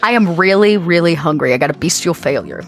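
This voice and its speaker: A woman's voice: fairly low pitch, expressive, fast, faint music behind. Casual female tabletop game player. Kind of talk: casual improvised table talk.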